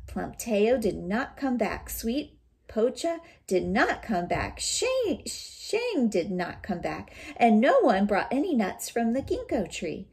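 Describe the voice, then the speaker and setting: A woman teacher giving an expressive, dramatic storybook read-aloud, pausing to put questions to young listeners. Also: on the high side.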